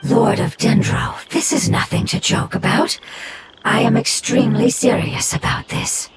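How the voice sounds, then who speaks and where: A performer voicing a small forest spirit creature in a video game, who speaks in a formal, solemn, breathy way. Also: mid-range voice, animated, a little slow, slight background noise. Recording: loud game soundtrack playing behind. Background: loud game soundtrack music.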